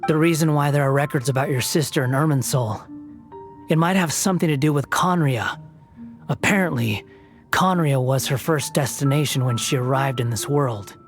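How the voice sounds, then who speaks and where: An actor playing an aloof, proud young wandering god in a video game, speaking with a cool, dry, mocking delivery. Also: male voice, mid-range voice, normal speed, soft background music, natural voice. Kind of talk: video game cutscene dialogue.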